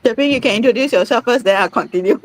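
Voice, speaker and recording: Halting middle-aged woman hosting. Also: on the high side, slight background noise. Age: 40s. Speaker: host. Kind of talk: informal live-stream presentation.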